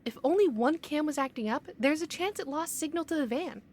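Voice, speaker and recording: A woman acting as a stubborn, bookish investigator in an audio roleplay. Her voice is high and she argues in precise, matter-of-fact terms, sceptical of anything unproven. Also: lively intonation, brisk, darkish tone. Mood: skeptical. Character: skeptical, bookish young female paranormal investigator, stubborn and by-the-book.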